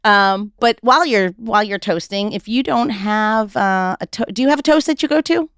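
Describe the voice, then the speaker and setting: A woman's voice: medium pitch, normal speed, a bit bright, clean tone, no room sound, studio quality. A woman hosting a podcast in a casual, chatty way, laughing often. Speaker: podcast host.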